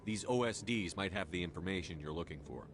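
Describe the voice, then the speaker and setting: An actor playing a steady, courteous male military commander with a matter-of-fact manner in a science-fiction video game. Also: deep voice, fast, noisy background. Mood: calm. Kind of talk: scripted video game dialogue. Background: music.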